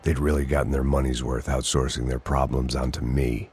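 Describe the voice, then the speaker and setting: Very deep, jaded American noir narrator. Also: male voice, flat delivery, a little fast. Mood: weary, sardonic. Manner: world-weary, sardonic, dry. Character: jaded, self-loathing middle-aged American ex-cop narrator. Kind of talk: hard-boiled noir voice-over narration. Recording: loud music under the voice. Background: music.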